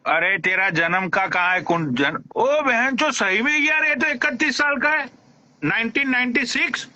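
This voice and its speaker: A man's voice: very high voice, animated, unhurried, pushed and bright. A man hosting a live stream, teasing his guest with crude, mock-respectful banter and frequent swearing.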